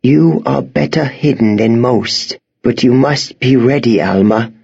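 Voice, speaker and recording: A man voicing a frail, grief-stricken, genteel old woman in an audiobook, speaking formally and haltingly. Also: medium pitch, creaky, darkish tone, husky, highs cut off, voice pushed up. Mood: warning. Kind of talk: audiobook character acting.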